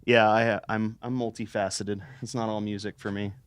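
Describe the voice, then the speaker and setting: Low-voiced young man, joking and profane. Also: little pitch movement, moderate pace, clear, resonant tone. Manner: joking, profane, laughs a lot. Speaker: band member. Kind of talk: casual interview chat.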